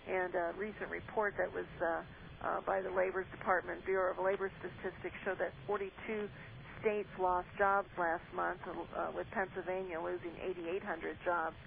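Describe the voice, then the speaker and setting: A woman's voice: fairly low pitch, creaky. A woman co-hosting a radio show, chatty and losing her voice, who also reads the sponsor plugs. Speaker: radio co-host and sponsor representative. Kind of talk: casual radio talk and ad reads. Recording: tinny phone-line radio audio with faint hiss.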